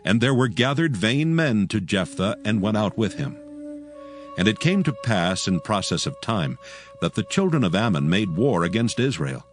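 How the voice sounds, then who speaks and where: Low-voiced man narrating scripture. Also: big pitch swings, moderate pace, over music.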